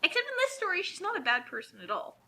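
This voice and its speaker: A woman's voice: very high-pitched, huge pitch swings, quick pace, sharp tone. A woman giving casual, opinionated, sarcastic comic commentary as a co-reader.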